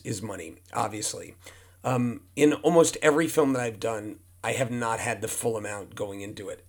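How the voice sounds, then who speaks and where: A hoarse man, an indie film producer, talking frankly as a podcast guest, rambling and repeating himself. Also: medium pitch. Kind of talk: casual podcast guest talk. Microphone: speaking near a humidifier.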